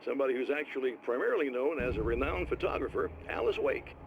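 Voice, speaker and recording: Actor for a game's gravelly TV host, stumbling and chatty, in a dull, hissy broadcast. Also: male voice, mid-range voice, expressive, fast talker, lightly acted. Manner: hesitant, awkward, full of filler words. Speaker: TV talk show host. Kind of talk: interview on a broadcast.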